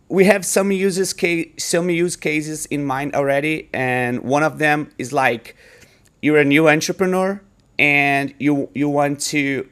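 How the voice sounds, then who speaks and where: Man, Brazilian accent, Portuguese native speaker, casual product walkthrough, halting with filler words. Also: a little high, a bit bright. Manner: halting, many restarts and fillers. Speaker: startup founder demoing product. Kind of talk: casual screen-share product demo.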